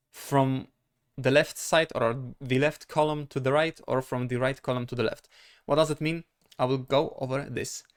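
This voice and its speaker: A man with a Polish accent, a native speaker of Polish, teaches in an informal lesson style. His voice sits at an average male pitch. He moves through explanations methodically and haltingly, often checking himself with brief questions. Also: clear, resonant tone. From Poland. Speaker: language teacher.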